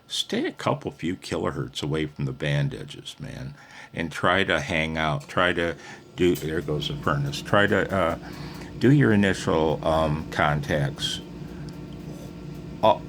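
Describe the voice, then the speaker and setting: Slow, low-voiced man rambling casually. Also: fairly bright tone. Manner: rambling, folksy, jokey, often says "man". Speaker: amateur radio hobbyist giving beginner tips. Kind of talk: casual unscripted tutorial.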